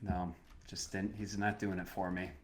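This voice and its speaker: A man's voice: low voice, flat delivery, normal speed. A man co-hosting a podcast, chatting casually and giving opinions in rambling asides. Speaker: podcast co-host. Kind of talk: casual chat about movies.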